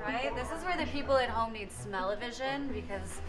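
A woman's voice: a little high, animated, a little fast. A woman presenting a food show in a restaurant, chatting and asking questions with enthusiasm. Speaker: food show host interviewing. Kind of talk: lively on-camera chat and interview. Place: restaurant dining room and kitchen. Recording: loud music behind the voice.

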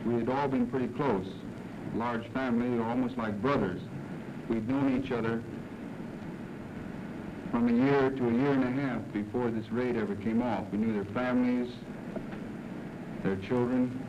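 A man's voice: medium pitch, steady tone, moderate pace, pauses often. A young American man, a military pilot, making an earnest statement at a press conference on an old newsreel. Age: young adult. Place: indoor conference room. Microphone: table microphone. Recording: muffled, noisy 1940s newsreel sound.

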